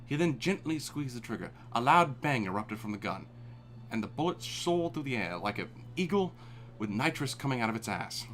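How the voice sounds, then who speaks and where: A man's voice: medium pitch, big pitch swings, normal speed, fairly bright tone, a little hiss or hum. A man reading fan fiction aloud for laughs on a live stream. He reads dramatically, then breaks off to laugh and swear. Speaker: fanfic reader. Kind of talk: comedic live fanfic reading.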